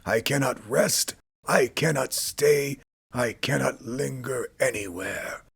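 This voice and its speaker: Man doing a mournful old ghost, raspy, breathy, slow wailing delivery, storybook reading. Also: medium pitch, no echo, pitched above the performer's own voice, exaggerated voice. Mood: solemn. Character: doomed old male ghost, mournful and solemn.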